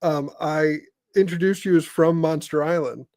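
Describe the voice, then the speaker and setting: An American man from Indiana hosting a casual podcast interview in a halting, rambling way. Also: fairly high pitch, fairly even intonation, a little mellow, no room sound, clean studio sound. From Indiana, United States. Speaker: podcast host.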